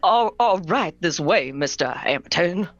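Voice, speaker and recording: A man acting in a radio play as a meek, eager train porter who stammers deferentially and talks haltingly. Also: high-pitched, animated, fairly bright tone, no echo, dull audio, exaggerated voice. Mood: nervous, deferential.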